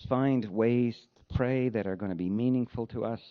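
A man delivering a sermon as a pastor, speaking in an earnest, conversational way with deliberate phrasing. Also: mid-range voice, smooth. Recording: dull, lo-fi sound.

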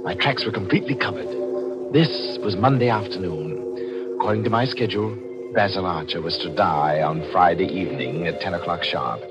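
Low-voiced, coolly calculating radio murderer played by a man. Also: moderate pace, lightly acted. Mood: cool, scheming. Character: cool, calculating male murderer narrating his own scheme. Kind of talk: 1940s radio mystery acting, first-person narration. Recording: old radio drama with music behind.

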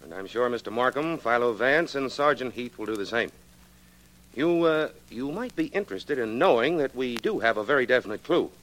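An actor playing a polite but firm federal investigator in a 1940s radio drama, speaking formally and courteously. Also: male voice, medium pitch, lively intonation, normal speed, a bit bright, lightly acted, rough recording. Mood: reassuring.

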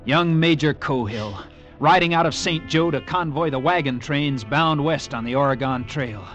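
A man's voice: fairly high pitch, moderate pace, fairly bright tone, close to an everyday voice. Young cavalry officer guy, played by an actor, earnest n dreamy, old radio show with music under it. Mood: brooding, reverent. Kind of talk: 1950s radio drama acting with narrated inner monologue.

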